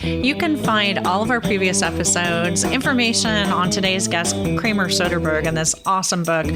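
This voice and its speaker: A woman hosting a faith podcast, encouraging her guest and signing off upbeat. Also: on the low side. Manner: warm, encouraging, upbeat sign-off. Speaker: podcast host. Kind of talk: casual interview and show outro.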